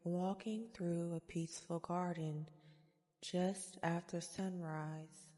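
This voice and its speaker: A woman guiding a meditation in a soft-spoken ASMR style, with soothing, gentle, deliberate phrasing. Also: on the low side, fairly even intonation. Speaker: guided meditation host. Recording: long reverberant echo.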